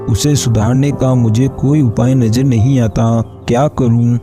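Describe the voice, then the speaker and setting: A weary old farmer father from a cartoon , acted by a man, with a very dark, muffled-soft tone at a medium pitch. He pleads earnestly and plaintively. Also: steady tone, measured pace, soft background music, lightly acted. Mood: troubled, pleading. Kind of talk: Hindi cartoon voice acting.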